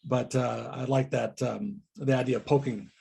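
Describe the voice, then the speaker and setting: Husky, mellow man with some creak. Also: mid-range voice, fairly even intonation, moderate pace. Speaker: agile coach, podcast guest. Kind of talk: casual podcast discussion.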